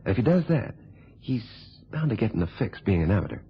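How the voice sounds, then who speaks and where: An actor playing a cool, calculating blackmailing reporter in a radio thriller, with a husky voice and a smug, sardonic way of telling things. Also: male voice, on the low side, sing-song, exaggerated voice. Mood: callous, amused. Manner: smug, sardonic, unhurried storytelling. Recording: dull, lo-fi old radio recording.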